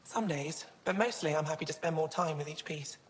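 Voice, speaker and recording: Woman playing low-voiced young alien curator, reverberant. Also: a little fast, lightly acted. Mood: thoughtful. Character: young alien female museum curator, earnest, scholarly, wistful. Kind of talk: video game dialogue. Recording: long hall reverb.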